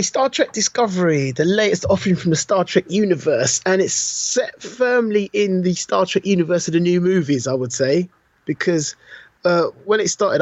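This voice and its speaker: Higher-voiced British man, dry and slightly dull recording. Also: no room sound. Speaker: podcast co-host. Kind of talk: casual chat.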